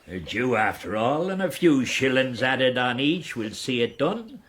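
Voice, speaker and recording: An actor playing a roguish, fawning Irish knight and debt-dodging fixer in a radio period drama, speaking with an Irish accent and theatrical flourishes. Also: male voice, mid-range voice, big pitch swings, measured pace, fairly bright tone. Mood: boastful, sly. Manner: theatrical, ingratiating, rhetorical flourishes.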